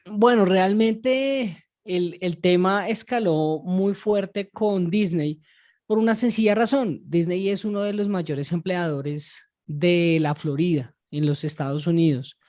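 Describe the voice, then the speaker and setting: A man's voice: sits high, darkish tone. Man unhurriedly explaining with fillers. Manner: measured, drawn-out explaining with many fillers. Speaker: podcast co-host. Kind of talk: conversational explainer.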